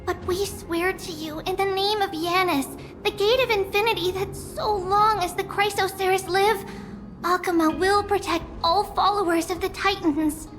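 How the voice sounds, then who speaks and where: Child high priestess, game role: very high, earnest, formal, over loud music. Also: female voice, steady tone, moderate pace. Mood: earnest, pleading. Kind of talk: video game voice acting.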